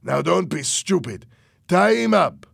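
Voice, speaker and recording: A man voices a menacing henchman in a storybook thriller. He barks orders and mocking threats at a raised pitch. The sound is close and very dry. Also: a little slow, creaky, no room sound. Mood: cruel, commanding. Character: cruel, menacing henchman with a rifle, obedient to boss.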